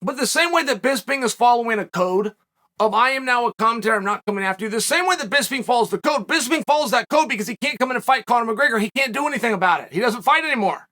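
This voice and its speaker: A man in his forties hosting a solo commentary show, speculating and telling stories in an animated, emphatic way from a dark studio set. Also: extremely high pitch, fast.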